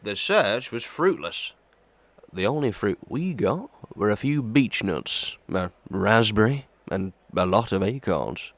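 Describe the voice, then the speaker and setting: A fairly low-pitched official played by a man in a Victorian audio melodrama. He questions in a plain, matter-of-fact way with dry comedy, and the recording has no room sound. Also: big pitch swings, takes it slowly, a bit bright, no room sound, heavily acted. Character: plain-spoken male inquirer in authority, drily comic.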